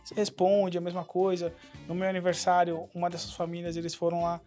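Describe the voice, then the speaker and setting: A man from Brazil co-hosting a casual podcast, talking in a relaxed, agreeable way. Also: sits high, brisk, soft background music. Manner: relaxed, agreeable, leans on "cara" and "né". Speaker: podcast co-host. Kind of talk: casual chat.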